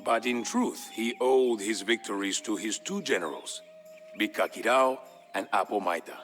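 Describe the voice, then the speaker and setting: A man puts on a proud old village storyteller, grandiose and boastful. The voice is fairly low, with light music underneath, in the style of a narrated game tale. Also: normal speed, a bit bright, lightly acted. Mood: scornful.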